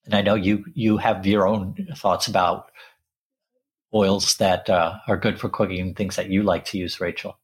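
A man with a very low, husky voice talks casually as a co-host. He often stops and repeats words before he goes on. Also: little pitch movement. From born in Sri Lanka, raised in England. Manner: halting, with frequent restarts and repeated words. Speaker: podcast co-host. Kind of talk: casual conversational chat.

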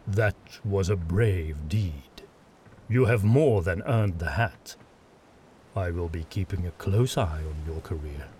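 A man's voice: sits low, darkish tone, a little hiss or hum. A man voicing a stern, condescending witch hunter lord who speaks firmly and with authority. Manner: firm, measured, authoritative.

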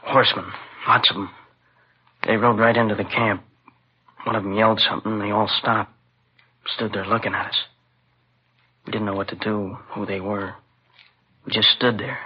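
An actor playing a shaken, exhausted young student witness in a 1950s radio drama, halting and subdued. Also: male voice, lowish voice, level tone, slightly rough. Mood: shaken, recounting. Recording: dull old radio recording, faint noise.

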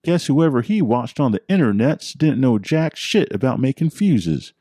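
A man's voice: medium pitch, big pitch swings, normal speed, mellow, dry recording, studio quality, voice pushed up. A man voicing a crude, gossipy old country man who cackles over his stories. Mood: gleeful.

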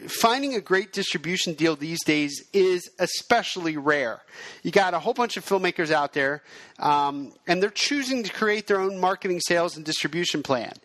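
A man's voice: high voice, expressive, moderate pace, fairly bright tone, no room sound. A middle-aged man hosting a podcast, giving frank, conversational advice and dropping his voice for asides. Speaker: podcast host. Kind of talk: casual advice monologue.

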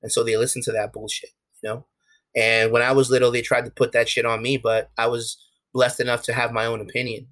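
A man's voice: mid-range voice, flat delivery, brisk, a bit bright. A young man with a New York accent, talking candidly as an interview guest, with many restarts and fillers. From USA. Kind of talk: casual interview talk.

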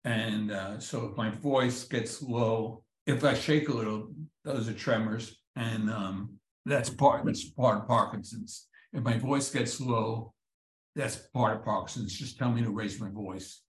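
A man and comedy writer turned professor, answering interview questions with dry, self-deprecating humor and frequent halting restarts over a video call. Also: fairly low pitch. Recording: roomy echo.

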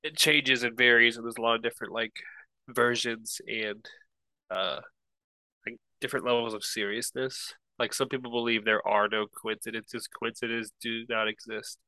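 A man's voice: mid-range voice, fairly even intonation, moderate pace, bright, forceful tone, no room sound, poor audio. A young man with a stuffy nose, chatting casually as a podcast co-host, rambling and hesitant. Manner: rambling, hesitant, laughs easily. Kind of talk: casual chat.

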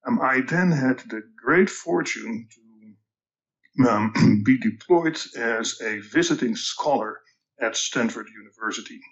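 A man's voice: medium pitch, animated. Older Dutch-accented man, slightly muffled. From Netherlands. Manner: measured, hesitant with many ums and restarts. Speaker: guest. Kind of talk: reflective career storytelling.

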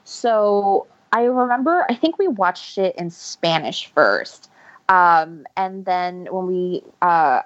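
A woman from Ecuador, a guest author, chatting casually in an interview and often restarting her sentences. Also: lowish voice. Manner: rambling, self-correcting, laughs often. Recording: muffled lo-fi audio.

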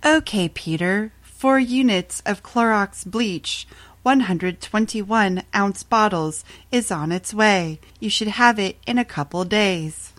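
A woman acts out a female voice assistant from a horror audio story. She speaks slowly and evenly in a polite, emotionless, machine-like manner, measuring out each phrase. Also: medium pitch, close to an everyday voice. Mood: flatly polite. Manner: measured, emotionless, robotic delivery.

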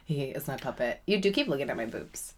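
A woman's voice: very deep voice, animated, fast talker. A young adult woman co-hosting a podcast, chatty and teasing, sometimes dropping to a whisper. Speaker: podcast co-host. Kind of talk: casual chat.

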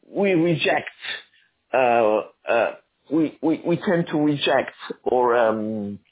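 A man who grew up in Argentina, an author answering interview questions in a halting, thoughtful way with many restarts. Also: medium pitch, phone-quality sound.

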